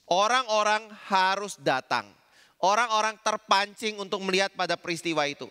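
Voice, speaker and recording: A man with a Javanese accent preaches a sermon in a conversational way, often asking the congregation questions. His voice is bright and smooth. The sound carries a long reverb from a large church hall. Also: on the high side. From Indonesia. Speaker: pastor.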